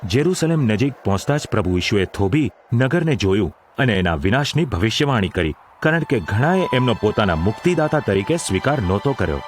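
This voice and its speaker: A male narrator delivers scripted scripture storytelling. His voice is fairly low, and faint music runs behind it. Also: very animated, brisk. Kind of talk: scripted narration of a Bible audio drama.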